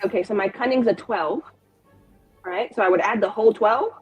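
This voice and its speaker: A woman's voice: medium pitch, moderate pace, breathy edge, dull audio, soft background music. A woman playing a tabletop roleplaying game, chatting casually and playfully with goofy exclamations.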